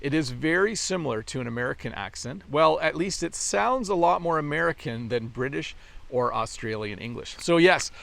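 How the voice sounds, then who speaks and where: A middle-aged Canadian man with a Canadian accent explains English phrases in an easygoing, friendly way, dropping in casual fillers. His voice sits a little high and has a gravelly edge. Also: lively intonation, moderate pace, a bit bright. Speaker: English teacher. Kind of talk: English lesson.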